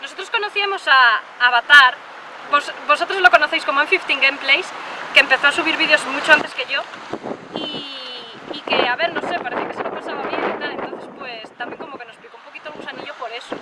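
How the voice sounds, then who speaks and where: A woman's voice: high voice, fairly quick. A woman from Spain, chatting casually and playfully as she is interviewed outdoors. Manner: informal, playful, joking. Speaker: gaming video creator being interviewed. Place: outdoors by the sea, windy. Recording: wind-battered outdoor recording, distant mic.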